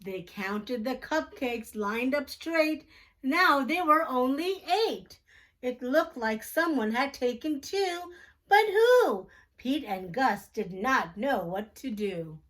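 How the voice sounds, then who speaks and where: A woman reading a picture book aloud to children slowly, in a sing-song, emphatic storytelling manner. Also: sits high, big pitch swings, fairly bright tone.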